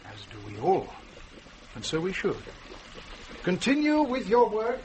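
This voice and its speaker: Pompous, animated monastery prior. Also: male voice, high voice, measured pace, muffled recording, a little hiss or hum, heavily acted. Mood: haughty. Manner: pompous, precise, commanding. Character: pompous, fastidious male prior, haughty and self-important. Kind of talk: radio drama acting.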